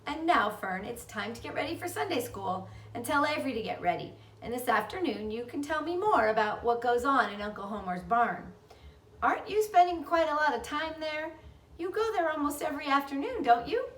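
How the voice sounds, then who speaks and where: A woman's voice: sits high, expressive, fairly quick, echoey room, recorded from a distance, voice pushed up. A woman voicing a worried, proper storybook mother who questions with puzzled concern. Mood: gently probing.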